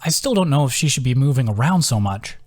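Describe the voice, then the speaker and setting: A man's voice: medium pitch, lively intonation, fast, darkish tone, no room sound, lightly acted. A man voicing a thoughtful, frank young woman adventurer with a wry, questioning manner in an audiobook. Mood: concerned.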